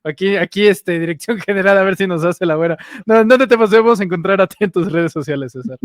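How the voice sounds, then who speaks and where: A man's voice: sits high, a little fast, no echo, clean studio sound. A man hosting an interview, cordial and jokey with his guest. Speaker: interview host. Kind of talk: friendly interview wrap-up.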